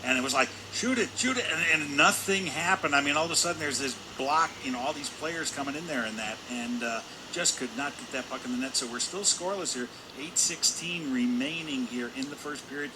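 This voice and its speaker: A man's voice: mid-range voice, brisk, few pauses. A man giving conversational color commentary at a live hockey game, wordy and repeating himself for emphasis. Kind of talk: live sports analysis, conversational. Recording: constant loud arena background noise. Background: hockey arena ambience.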